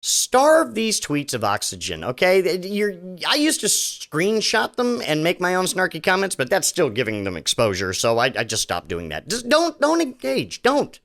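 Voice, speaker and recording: A middle-aged man rambles through a casual solo podcast, sarcastic and full of restarts. His voice sits a touch high for a man and swings widely in pitch as he talks. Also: wildly swooping pitch, moderate pace, gravelly, few pauses, fairly bright tone. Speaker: podcast host.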